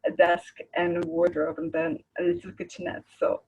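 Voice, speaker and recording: A woman's voice: on the low side, big pitch swings, moderate pace, creaky, darkish tone, breathy edge, rough recording. A woman, an Arabic speaker, chatting casually as a guest artist on a video call. She rambles and searches for words, laughing easily. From Egypt.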